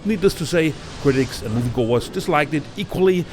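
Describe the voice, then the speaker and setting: A man's voice: on the high side, lively intonation, fairly quick, over music. A man hosting a countdown video, reading a scripted film review as narrator. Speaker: video list host and narrator.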